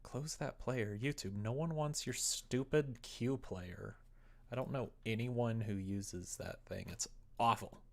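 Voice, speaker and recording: A casual livestreamer of unclear gender with a mid-pitched, gravelly voice that often drops into fry. They talk slowly and informally, muttering their thoughts aloud as they work, with exasperated, self-deprecating asides. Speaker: live streamer. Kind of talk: casual livestream chat while working, thinking aloud.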